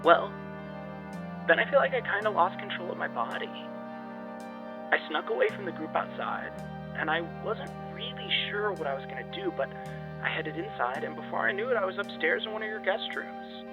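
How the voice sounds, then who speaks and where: Guy acting uneasy young man in an audio drama, halting, pitch jumps around, dull phone-ish sound, music under it. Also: animated. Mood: troubled recollection. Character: Derek, rattled young man confiding in friends.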